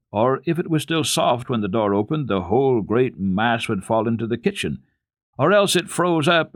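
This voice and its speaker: A man with a fairly low voice narrates classic children's literature for radio. His intonation swings widely, and he phrases each passage deliberately and expressively, leaning on key words like a practiced storyteller. The sound is dry and close, with very little room in it. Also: dry recording.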